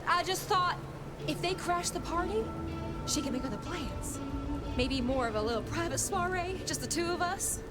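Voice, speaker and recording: An actress playing a devoted, theatrical Southern belle socialite in a video game, with an American Southern accent and an emotive, folksy way of talking. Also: female voice, very high voice, lively intonation, moderate pace. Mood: defensive, wistful. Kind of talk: dramatic video game voice acting. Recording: music loud under the voice.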